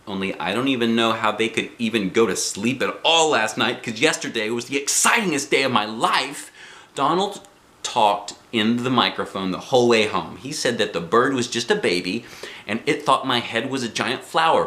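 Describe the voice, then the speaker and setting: Guy doing a braggy excited first grade girl from a storybook, dramatic and earnest, voice all over the place, echoey room. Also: mid-range voice. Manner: dramatic, childlike, earnest, with drawn-out emphasis. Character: excitable, boastful little first-grade girl narrating her journal. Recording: roomy home recording.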